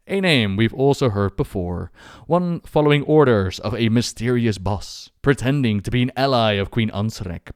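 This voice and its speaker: A man's voice: medium pitch, darkish tone, clean tone, no room sound. Man narrating game lore, dry close sound. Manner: dramatic storyteller phrasing with rhetorical questions. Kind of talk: scripted storytelling narration.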